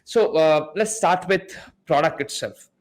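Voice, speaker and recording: A man's voice: fairly high pitch, a little slow. A man hosting a podcast, a non-native English speaker, introducing guests in an eager way with frequent repeats. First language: not English. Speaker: podcast host.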